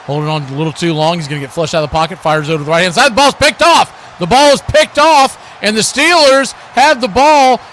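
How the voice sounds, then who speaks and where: A man's voice: high-pitched, a little fast, hardly any pauses, fairly bright tone. A man doing live radio play-by-play commentary of a football game as the announcer. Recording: clearly noisy live broadcast.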